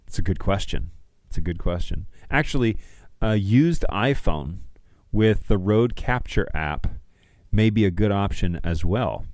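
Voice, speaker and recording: Low-pitched man chatting casually, dry close sound. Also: a little mellow, dry recording. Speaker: livestream host. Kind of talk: casual chat to viewers. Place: small home studio room indoors. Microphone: close studio mic on a stand.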